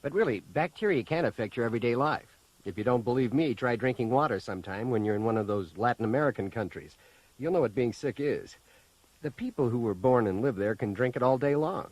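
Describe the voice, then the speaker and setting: An actor playing a friendly, wry high school science teacher in a science fiction audio drama, bantering expressively. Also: male voice, animated, fairly quick, darkish tone, no room sound, close to an everyday voice, low-quality recording. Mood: wry, jovial.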